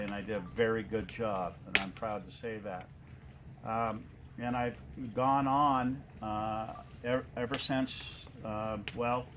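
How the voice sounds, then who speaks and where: A man in his late fifties giving an informal personal testimonial to an audience in a halting, plain-spoken way with frequent pauses. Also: mid-range voice. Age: late 50s. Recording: noisy live room recording.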